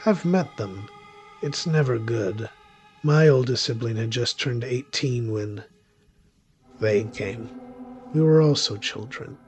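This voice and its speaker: Guy doing a secretive witch narrator for a horror radio play, wry and deliberate, mid-pitched, music under it. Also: darkish tone, close to an everyday voice. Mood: bitter, grave. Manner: wry, theatrical, deliberate, with sudden swells and drops. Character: wry, secretive, disfigured male witch narrating his mission. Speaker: narrator of an audio drama. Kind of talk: dramatic first-person horror-thriller narration.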